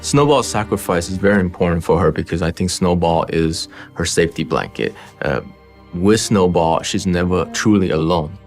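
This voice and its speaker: A man's voice: sits low, moderate pace, soft background music. A man reflecting on a story in a documentary interview, with small restarts. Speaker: animation director interviewed.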